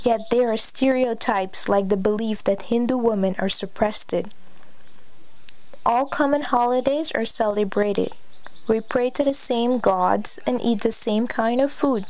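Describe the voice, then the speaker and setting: A young woman from Guyana reading her personal essay aloud as a student, in an earnest, measured way. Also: on the high side, a little mellow. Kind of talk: read personal essay narration.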